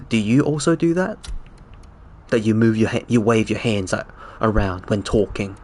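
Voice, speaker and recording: Low-voiced man chatting casually on stream. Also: animated, normal speed, darkish tone. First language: Cantonese. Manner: rambling, self-correcting, chuckling. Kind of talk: casual live-stream chat.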